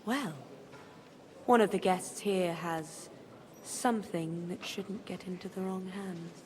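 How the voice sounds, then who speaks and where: Medieval noblewoman, lower pitch, shrewd, dry wit, deliberate, video game dialogue, slight background noise. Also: fairly even intonation, a little mellow. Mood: businesslike.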